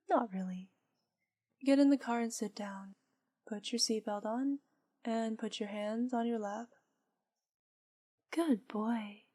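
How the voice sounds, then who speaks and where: A woman playing a teasing, controlling witch in an intimate audio roleplay, breathy and deliberate, often dropping into whispers. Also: a little high, dark tone. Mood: teasing, possessive. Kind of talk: intimate roleplay audio drama, hypnosis ASMR.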